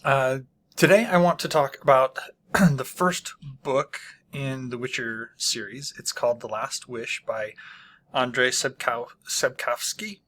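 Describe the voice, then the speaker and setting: A man hosting his own channel, casually reviewing a book with many pauses, ums and frequent throat clearing. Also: medium pitch, a bit bright. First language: not Polish.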